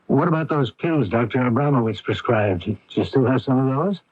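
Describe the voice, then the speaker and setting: A man's voice: medium pitch, expressive, brisk, a little mellow, husky, dry recording. An actor playing an anxious, reticent middle-aged father in a radio drama, hesitant and pressing, stammering at times. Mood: gently worried. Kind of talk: radio drama acting with first-person narration. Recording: dull noisy AM radio broadcast recording.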